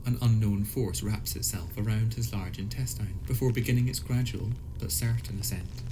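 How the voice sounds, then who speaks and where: A man narrating a scripted surreal radio play in a formal, measured storytelling manner. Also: on the low side, fairly even intonation, noisy background. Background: music score.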